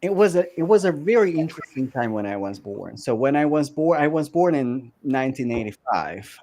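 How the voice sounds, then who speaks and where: A man's voice: mid-range voice, a little mellow. Thirties man from Russia, coach guest, chatty and full of restarts. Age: 30s. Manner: conversational, many fillers and restarts. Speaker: career and business coach. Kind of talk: podcast guest telling his story.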